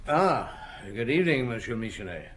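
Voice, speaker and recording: A man's voice: medium pitch, big pitch swings, normal speed, a bit bright, slight background noise. An actor playing a brusque, dogged police chief inspector in an audio drama, curt and probing in his questions. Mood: brisk, questioning.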